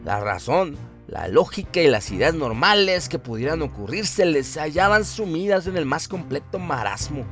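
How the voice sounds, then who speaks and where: A man's voice: medium pitch, fairly bright tone. A man narrating a scripted horror radio story in a dramatic, solemn and suspenseful manner. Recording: music bed behind the voice. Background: music.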